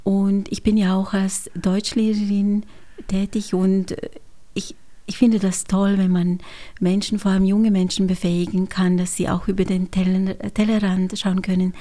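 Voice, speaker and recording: A Hungarian-born woman, a German teacher, talking as a guest in a thoughtful, measured way with pauses and fillers. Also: mid-range voice, little pitch movement, mellow. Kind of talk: radio conversation.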